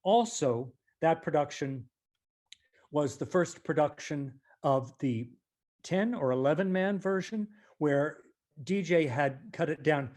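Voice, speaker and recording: A man's voice: on the high side, darkish tone. A male stage actor chatting reflectively as an interview guest, articulate and emphatic.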